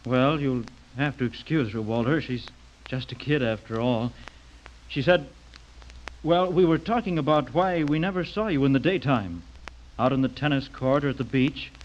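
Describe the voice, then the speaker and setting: A man's voice: mid-range voice, moderate pace, slight background noise, natural voice. An actor playing a chatty, tactless middle-aged father and insurance salesman in a radio drama. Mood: sheepish. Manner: jovial, blunt, teasing. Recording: dull lo-fi radio recording.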